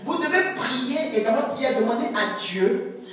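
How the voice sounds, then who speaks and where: A woman's voice: high voice, animated, a little slow, a bit bright. A woman prophetess preaching a sermon, emphatic, repeating words and stretching key phrases. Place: church hall. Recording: echoey church hall, distant mic, faint music.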